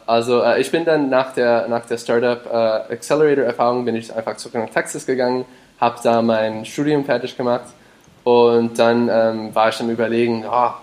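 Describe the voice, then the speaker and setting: A man's voice: medium pitch, fairly even intonation, moderate pace, darkish tone. Hesitant man. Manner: hesitant, many fillers and restarts, mixes in English words. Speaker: podcast guest, startup founder. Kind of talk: casual interview talk.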